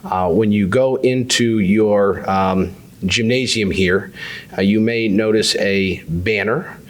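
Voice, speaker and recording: A man's voice: fairly low pitch, slow pace. A man with a cold giving affable, self-deprecating town hall remarks with frequent "uh" fillers. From New Hampshire. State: has a cold. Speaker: state insurance commissioner.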